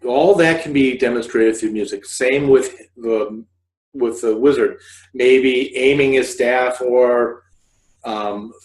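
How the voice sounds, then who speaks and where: Casting: man, forties, mid-pitched, casual explainer who wanders and backtracks, recorded at home with roomy echo. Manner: rambling, explanatory, with self-corrections. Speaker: host of a scriptwriting video podcast, fiction writer. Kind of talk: informal instructional talk to camera. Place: living room couch, indoors.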